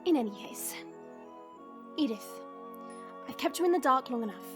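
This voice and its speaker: Commanding adventuress, played by a woman, high, lively, formal and dignified, light music behind. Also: moderate pace. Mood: decisive, formal. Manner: formal, authoritative. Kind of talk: fantasy audio roleplay acting.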